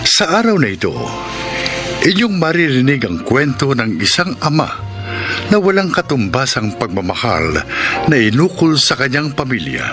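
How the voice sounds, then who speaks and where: A man's voice: mid-range voice, very animated, normal speed. A man with a Filipino accent introducing a radio drama in a scripted, dramatic announcing style. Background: music.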